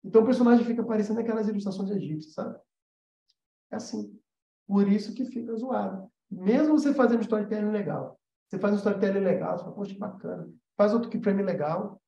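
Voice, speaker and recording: Brazilian man, informal teacher, soft-edged mellow tone, emphatic repetition, frequent check-in tags, echoey room. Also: high voice, fast, gravelly. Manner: conversational, emphatic, repeats key points, frequent check-in tags. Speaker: animation teacher hosting a live class. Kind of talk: informal live lesson, explaining and demonstrating. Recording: roomy echo, slightly dull sound.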